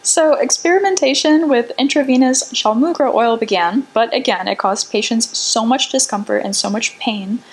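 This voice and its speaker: A woman's voice: on the high side, normal speed, hardly any pauses. A young woman co-hosting a casual educational podcast, reading out facts and tripping over hard drug names. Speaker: podcast co-host.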